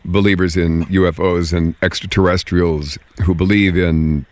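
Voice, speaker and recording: A man's voice: low-pitched, fairly even intonation, normal speed, no echo. A man hosting a casual radio interview, putting questions to a guest in conversational language.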